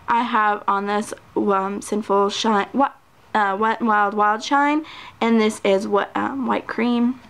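A woman's voice: fairly high pitch, creaky. A woman chatting casually through a nail polish review, full of ums and little laughs. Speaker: nail art vlogger. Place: home table, hands on camera.

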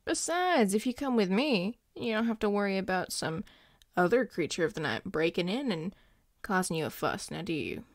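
A woman playing a grumpy but devoted male werewolf boyfriend, speaking intimately to the listener in a gruff, tender, halting way. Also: medium pitch, very animated, no echo, studio quality. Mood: soft, coaxing. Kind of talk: intimate audio roleplay, spoken to the listener.

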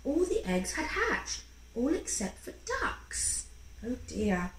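A woman in her thirties reading a picture book to a young child in an expressive, encouraging way, pausing to ask questions. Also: a little high. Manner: expressive, encouraging, acting out sound effects. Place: small room at home, indoors. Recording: roomy home recording with faint background noise.

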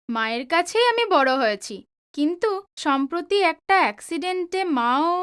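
Woman playing a very high-pitched, sweet student girl. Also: a bit bright, full character voice. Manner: polite, earnest, girlish. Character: sweet, polite, determined young orphaned female student. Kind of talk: audio drama acting.

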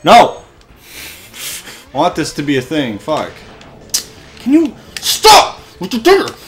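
Young male let's-play host, lively up-and-down voice, excitable, cursing at the game. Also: fairly high pitch, slow, heavy background noise. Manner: excitable, swearing, frustrated outbursts. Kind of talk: casual gameplay commentary.

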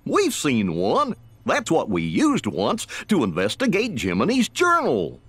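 A good-natured, goofy cartoon dog for a video game. He has a gulping, chuckling drawl at an unhurried pace, with wildly swinging pitch. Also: wildly swooping pitch, fairly bright tone, slightly rough, no echo, heavily acted.

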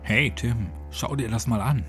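A man's voice: mid-range voice, measured pace, fairly bright tone, faint music behind, close to an everyday voice. A man voicing a casual, slangy young village man in a horror audiobook. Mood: bored, curious.

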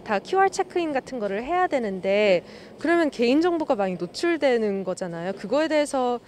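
A woman's voice: a little high, very animated, a little fast, darkish tone, slight background noise. A woman interviewer asking passersby questions on a city street in a polite, formal way.

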